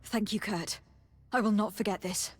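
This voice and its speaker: A woman's voice: medium pitch, steady tone, normal speed, lightly acted. An actress playing a resolute, caring young noblewoman in a fantasy game, speaking earnestly and formally. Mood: resolute, urgent. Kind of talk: dramatic fantasy game acting.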